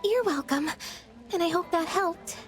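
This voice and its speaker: A woman's voice: very high voice, unhurried, faint music behind. A woman voicing a sweet, helpful flower shop clerk in a video game, chirpy and obliging.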